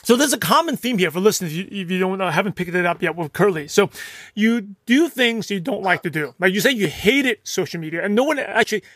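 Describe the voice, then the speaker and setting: A man's voice: high voice, animated, fast talker, fairly bright tone, no echo. A man hosting a podcast, asking the guest probing follow-up questions. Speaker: podcast host.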